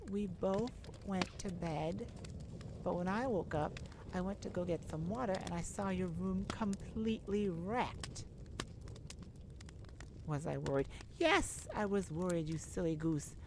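A woman's voice: fairly low pitch, takes it slowly, pauses often, background noise. A woman playing a nervous, caring mage who speaks hesitantly and tenderly in an ASMR audio roleplay. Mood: concerned, gently teasing. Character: nervous, caring young mage rescuing a friend.

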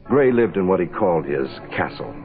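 A very deep-voiced American private eye from a 1960s radio drama. He is cool, wry and laconic, with a deadpan delivery. The sound is thin old radio with music behind. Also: a little fast, phone-quality sound. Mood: cool, brooding. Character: cool, wry hard-boiled male private investigator. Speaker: lead actor and narrator. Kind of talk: 1960s radio detective drama acting, first-person narration.

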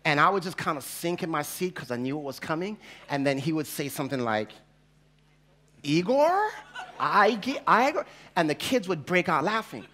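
A Jamaican-born pastor in his forties, speaking with a faint Jamaican accent and a high, ringing voice. He tells his story from a church stage into a headset mic, animated and playful as he imitates the voices in it. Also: moderate pace. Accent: slight Jamaican. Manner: animated, acts out quoted voices, laughs as he tells. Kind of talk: storytelling sermon. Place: large church hall stage with audience.

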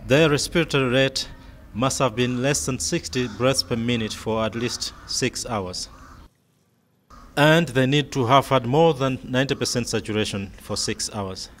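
Measured male instructional narrator, faint noise. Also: mid-range voice. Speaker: medical training film narrator.

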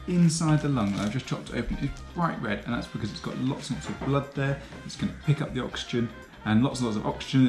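A young man explaining biology in a patient, clear teaching manner, recorded in a home kitchen on a camera across the table. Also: mid-range voice, roomy sound. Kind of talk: educational explainer.

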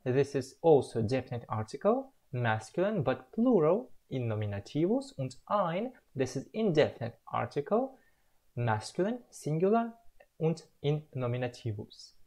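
A young man from Russia, explaining the words he has learned slowly and carefully in a self-recorded lesson at home on a webcam. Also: fairly high pitch, big pitch swings, dark tone. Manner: slow, careful, drawn-out word-by-word explanation. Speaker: language learner presenting his progress.

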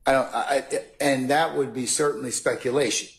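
A man speaking as a judge in a courtroom, ruling in a halting, deliberate way. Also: mid-range voice, steady tone. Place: large wood-paneled courtroom.

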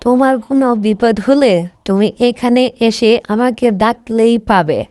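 A woman's voice: fairly high pitch, moderate pace, warm tone, dry recording, clean studio sound, lightly acted. A woman voicing a grateful, generous female serpent spirit in a cartoon. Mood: kind, generous. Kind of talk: cartoon voice acting.

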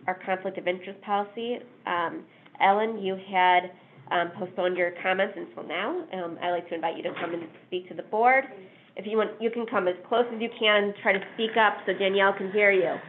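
A woman's voice: medium pitch, normal speed, a little hiss or hum. A woman chairing a township board meeting in an orderly, procedural way. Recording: thin phone-like sound.

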